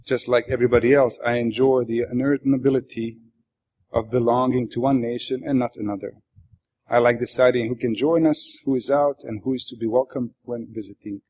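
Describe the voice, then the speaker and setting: A man from Bosnia, a native Bosnian speaker with a Bosnian accent, reads literary prose aloud as its author in a wry, deadpan manner. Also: mid-range voice, moderate pace. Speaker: author reading his own work. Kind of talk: literary reading aloud to an audience.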